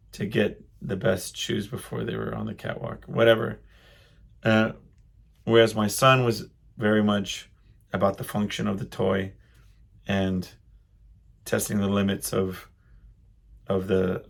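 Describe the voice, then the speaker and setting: A middle-aged man hosting a solo podcast, reflecting casually and haltingly as he thinks aloud in a loud voice. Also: lowish voice, creaky, breathy edge. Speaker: podcast host.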